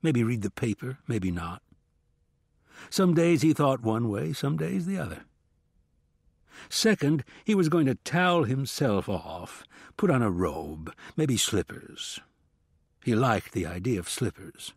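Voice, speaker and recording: A man narrating fiction for an audiobook, measured and deliberate, with dramatic pauses. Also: medium pitch, animated, husky, no room sound, studio quality.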